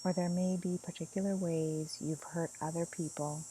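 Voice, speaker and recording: A woman leading a guided meditation as a teacher, speaking gently and soothingly in soft phrases with long pauses. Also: fairly low pitch, fairly even intonation, a little hiss or hum, low-quality recording.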